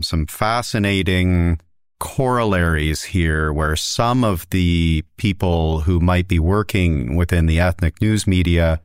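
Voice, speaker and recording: Male interviewer, very low smooth voice; thoughtful and probing, dry close sound. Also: no echo. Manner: thoughtful, probing questions, stammers on starts. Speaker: host, interviewer. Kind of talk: academic interview.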